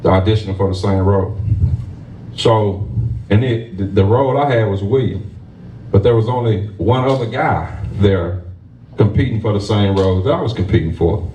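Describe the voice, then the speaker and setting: A man, a stage actor, tells a lively story to a live audience with laughs, then closes with motivational encouragement. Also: fairly low pitch, moderate pace, highs cut off, a little hiss or hum. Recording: roomy sound from a distant mic.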